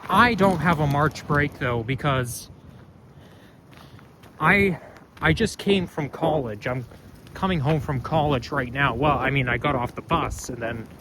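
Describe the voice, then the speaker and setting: A young man with a loud voice, rambling and correcting himself as he talks through a casual walking vlog, recorded on a phone camera outdoors. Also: fairly high pitch, a little slow. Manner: rambling, chatty, self-correcting. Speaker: vlogger. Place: outdoors on a street. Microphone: phone camera held while walking. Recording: outdoor street noise.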